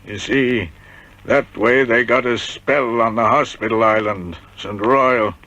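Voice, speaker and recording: An actor playing an old ex-convict in a radio drama, telling vivid, brooding tales of a prison escape. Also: male voice, medium pitch, slow, pushed and bright. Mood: grimly reminiscing. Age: older. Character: old male ex-convict haunted by Devil's Island escape. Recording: muffled vintage radio drama recording.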